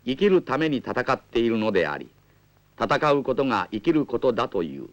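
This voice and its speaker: A man with a mid-pitched, highly varied voice narrates a political film. His scripted delivery is formal and rhetorical, rising and falling widely. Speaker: documentary narrator.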